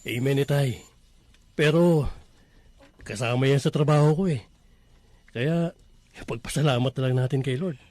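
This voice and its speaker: An actor playing a respectful, easygoing and devout grown Filipino son, an engineer, in a religious radio drama. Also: male voice, mid-range voice, unhurried, long pauses, a little mellow, no room sound, lightly acted. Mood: easygoing, amused.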